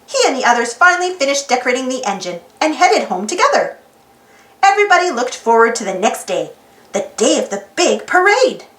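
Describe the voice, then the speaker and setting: A woman reading a children's storybook aloud in an animated narrating style. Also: a little high. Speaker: storybook reader. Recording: roomy, slightly distant mic.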